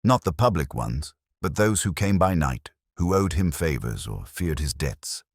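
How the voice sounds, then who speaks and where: Audiobook sleuth, played by a male narrator: extremely low male voice, intense, commanding, deliberate and rhetorical, dry close sound. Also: very animated, slightly rough, no room sound, full character voice. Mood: probing, grave. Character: brilliant, intense male detective, commanding and cryptic.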